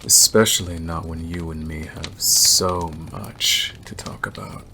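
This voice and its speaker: An obsessive werewolf stranger played by a man speaks very low and slowly, teasing and menacing his prey in an ASMR roleplay. He leaves long, deliberate pauses, and clear background noise with clicks sits behind the voice. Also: fairly bright tone, heavily acted. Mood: sly, intent.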